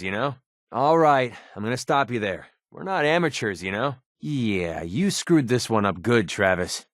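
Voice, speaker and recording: A cynical young American gun-for-hire from a post-apocalyptic video game. His mid-pitched male voice swings freely up and down, recorded dry and close. He talks in a casual, streetwise way, heavy on sarcasm and wisecracks. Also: dry recording. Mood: irritated, dismissive. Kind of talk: video game companion dialogue.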